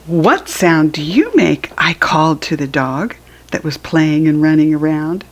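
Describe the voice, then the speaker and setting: An American woman reads a picture book aloud for small children. Her voice sits fairly low and moves with lively swings of pitch at a very unhurried pace. It is a little husky, and she talks to the listener in simple, teacherly asides. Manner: acts out animal sounds while reading. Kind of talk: read-aloud storytelling for young children with asides.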